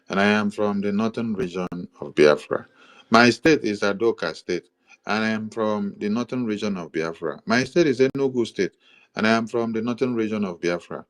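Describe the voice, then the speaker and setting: A man with a Nigerian accent walks through a map in a measured, repetitive cadence, stopping now and then to correct himself. His voice sits on the low side. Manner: steady, repetitive listing with hesitations. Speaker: commentator presenting a map. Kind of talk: explanatory monologue.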